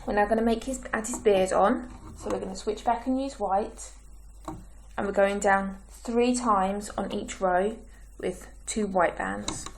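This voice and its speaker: Woman tutorial host, croaky and gravelly, slow patient delivery, faint clicks behind. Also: medium pitch, slight background noise, rough recording. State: croaky, clearing her throat. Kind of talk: step-by-step craft tutorial.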